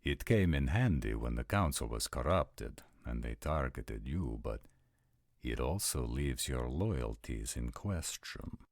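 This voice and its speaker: A man playing a formal, suspicious vampire nobleman with a measured, deliberate manner in an audio roleplay drama. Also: extremely low pitch, expressive, creaky, no room sound, studio quality, full character voice. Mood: stern, cold.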